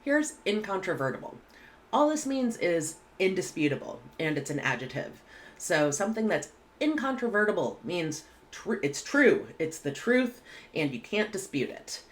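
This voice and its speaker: A woman, teaching English vocabulary in a clear, measured way with casual asides, recorded at home on a webcam. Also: lowish voice, big pitch swings. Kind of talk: vocabulary lesson. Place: home room indoors.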